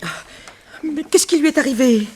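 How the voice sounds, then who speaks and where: A woman's voice: high voice, moderate pace, warm tone, natural voice. An actress playing an anxious, protective middle-aged mother who works as a theatre dresser, fretful and exclamatory in a radio drama. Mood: shocked, indignant. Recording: faintly noisy radio drama recording.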